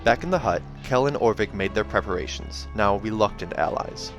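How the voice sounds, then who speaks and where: Male audiobook reader, slightly low pitch, dramatic fantasy narration, background music. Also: animated, brisk.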